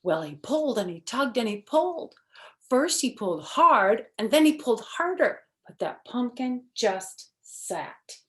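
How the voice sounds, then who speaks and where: Woman storyteller, children's read-aloud, slightly high voice, very expressive, unhurried, deliberate. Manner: expressive, deliberate, simple phrasing. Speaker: storyteller, first-grade teacher.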